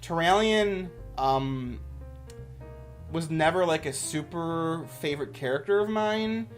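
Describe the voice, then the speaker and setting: A man's voice: on the high side, lively intonation, slow, faint music behind. A man giving casual, off-the-cuff commentary as a YouTuber, with an enthusiastic, rambling manner.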